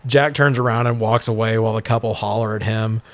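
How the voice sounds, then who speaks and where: A man's voice: on the low side, steady tone, a little fast, no room sound. A man co-hosting a podcast, casually recapping a movie with profane, exasperated sarcasm. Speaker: podcast co-host.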